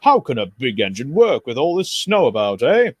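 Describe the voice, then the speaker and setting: A man's voice: mid-range voice, animated, moderate pace, no room sound, lightly acted. A man voicing a big, proud male steam engine who grumbles and sulks, for a storybook reading. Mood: grumbling. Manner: grumbling, sulky.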